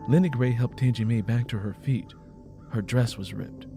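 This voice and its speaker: A man's voice: medium pitch, normal speed, warm tone, soft background music. A man reading a dramatic story as a scripted audiobook narration.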